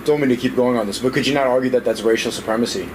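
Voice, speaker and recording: A man interviewer asking questions in a halting, hesitant way. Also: mid-range voice, background noise.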